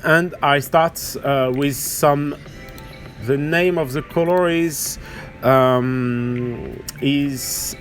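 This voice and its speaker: This is a man with a French accent, a native French speaker, talking at an unhurried pace in a slightly high voice. He hesitates and gropes for words as he hosts an informal hands-on tutorial. Soft music plays underneath. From France or French-speaking region. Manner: hesitant, halting, searching for words. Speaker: miniature painting tutorial host.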